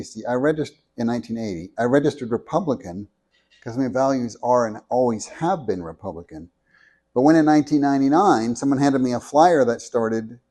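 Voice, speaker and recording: A soft-spoken man reading a prepared talk into a handheld microphone at a meeting, measured and deliberate, with small restarts. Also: mid-range voice. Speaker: speaker at a meeting. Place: meeting room with an audience.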